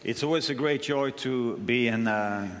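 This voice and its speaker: An older man preaches with a Swedish accent at a middling pitch. He speaks in short phrases, leaving room for an interpreter. A long hall reverb trails his words. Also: unhurried, fairly bright tone. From Sweden. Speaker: guest preacher.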